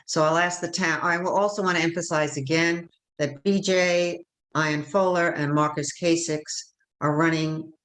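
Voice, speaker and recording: A woman's voice: low voice, low-quality recording. A woman moderating a candidate forum over a video call, chatty and loose, with many fillers and self-corrections.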